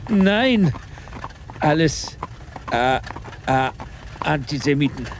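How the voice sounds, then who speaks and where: A heavily stammering, slow captive. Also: male voice, fairly high pitch, expressive. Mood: protesting. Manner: heavy stammer, halting, repeats stock phrases. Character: dim, cornered captive with a painful stammer. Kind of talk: German radio comedy acting. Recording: noisy digitized radio cassette.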